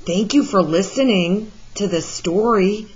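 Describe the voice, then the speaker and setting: Woman, children's read-aloud, unhurried, slightly husky, expressive emphasis, muffled recording. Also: mid-range voice. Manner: deliberate, with animated emphasis for young listeners. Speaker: art teacher reading a picture book aloud.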